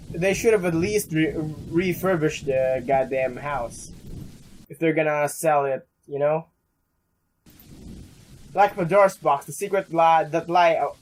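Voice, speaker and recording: A man's voice: on the high side, low-quality recording. A young man doing casual let's play commentary, stumbling and rambling as he reads game text aloud. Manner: halting, stumbling, rambling, mild swearing. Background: game music.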